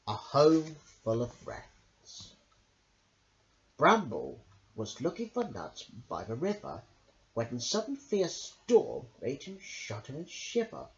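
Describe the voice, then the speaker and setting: A man reads a children's story as its host. He draws his words out theatrically at a slow pace, his pitch swinging widely. The recording sounds dull and lo-fi. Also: huge pitch swings. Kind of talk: children's picture-book narration.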